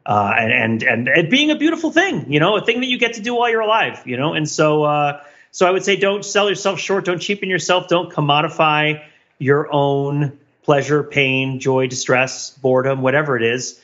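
A man's voice: on the high side, animated, moderate pace, a bit bright, dull audio. A man talking as a podcast panelist in an emphatic, rambling, philosophical way, giving casual reflective commentary.

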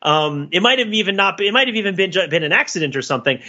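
A man's voice: high voice, lively intonation, quick pace, pushed and bright, dull audio. A man co-hosting a podcast, casually analysing film in a discursive way, peppering his points with filler phrases like "you know". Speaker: podcast co-host.

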